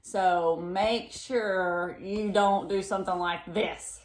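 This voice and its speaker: Female children's storyteller, mid pitch, expressive read-aloud with explanatory asides, echoey room sound. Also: slow, a little hiss or hum. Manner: expressive, asks listeners simple questions. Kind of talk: picture-book read-aloud with asides to young listeners.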